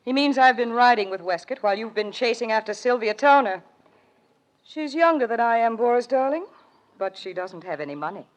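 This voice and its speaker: A woman's voice: a little high, expressive, brisk, lightly acted, rough recording. An actress playing a jealous, flirtatious society woman with arch, theatrical 1940s radio delivery. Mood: catty, taunting.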